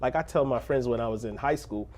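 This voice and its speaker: A man who grew up in a rough neighborhood, speaking as a pastor in a casual interview, earnest and preacherly, breaking into laughs and jokes. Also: medium pitch, fast, darkish tone. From Little Haiti, Miami.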